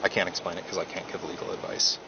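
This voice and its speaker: Calm low-voiced man on a police bodycam. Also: quick pace, vocal fry. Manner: calm, patient, reads forms verbatim. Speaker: police officer. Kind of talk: procedural arrest talk. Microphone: body camera. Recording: muffled bodycam audio with loud noise.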